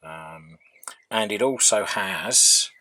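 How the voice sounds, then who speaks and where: A man's voice: on the low side, very slow, pushed and bright, poor audio. A man giving an unscripted, hands-on workbench narration as a hobbyist, hesitantly thinking aloud. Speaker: hobbyist radio restorer.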